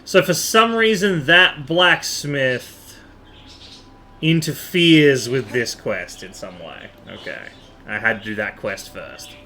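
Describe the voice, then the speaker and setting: A man doing live gameplay commentary as a streamer, excitable and joking, with casual swearing. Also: mid-range voice, slow pace. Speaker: game streamer. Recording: live stream with faint game noise.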